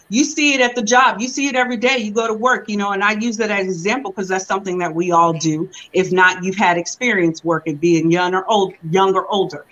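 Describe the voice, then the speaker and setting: A young woman preaching a sermon over a video call from home, reading scripture slowly and then explaining it in relaxed preaching talk. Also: mid-range voice, muffled recording. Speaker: reverend preaching. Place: room at home. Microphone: video call webcam.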